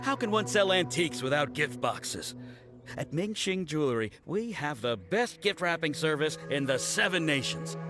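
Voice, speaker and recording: A man's voice: a little high, big pitch swings, moderate pace. An actor playing a polite, grateful male antiques merchant in a video game, speaking in a formal, salesmanlike way. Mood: eager, salesmanlike. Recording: game music behind the voice.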